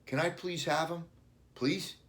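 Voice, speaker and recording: A man voicing an eager, excitable ten-year-old boy from a children's storybook, pleading with excitement. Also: a little high, unhurried, gravelly, voice pushed up.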